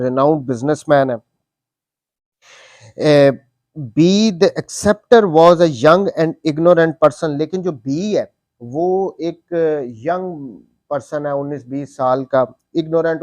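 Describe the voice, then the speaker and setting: Pakistani guy lecturing on law, slightly high voice, dry sound, keeps rephrasing his points. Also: darkish tone, no echo. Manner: repeats and rephrases points, emphatic slow reading of quoted text. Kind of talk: explanatory lecture, reading English legal text and explaining it.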